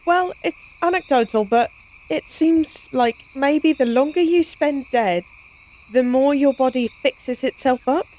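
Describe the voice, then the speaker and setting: A woman's voice: high voice, a little slow, mellow, rough recording. A woman playing a playful, cocky, science-obsessed vampire in an intimate audio roleplay, speaking in a casual, teasing, wry way. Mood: curious, wry.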